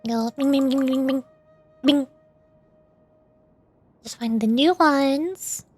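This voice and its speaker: A woman's voice: high voice, slow, a little mellow, no echo, faint music behind. A woman livestreaming a video game, chatting in a playful, cutesy way and dropping in little sound words. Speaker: VTuber game streamer. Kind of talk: livestream gameplay chat with voiced-aloud dialogue.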